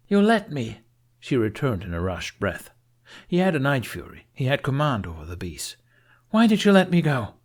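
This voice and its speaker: A man reading fiction as an audiobook narrator, in an expressive, scripted storytelling style. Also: on the low side.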